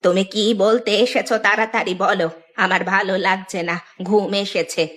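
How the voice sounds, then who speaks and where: A woman voicing a frail, elderly village woman who speaks in a slow, weary, plaintive way in an audio story. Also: medium pitch, steady tone, fairly bright tone, natural voice. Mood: wary. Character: frail old village woman, sorrowful and wary.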